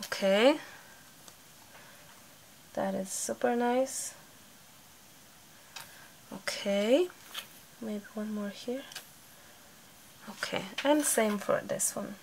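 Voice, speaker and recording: Slow, gravelly, chatty craft woman. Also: mid-range voice, a little mellow, slight background noise, poor audio. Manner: chatty, thinking aloud, light laughs. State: slightly hoarse from a sore throat. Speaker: craft channel host. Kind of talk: casual crafting tutorial voice-over.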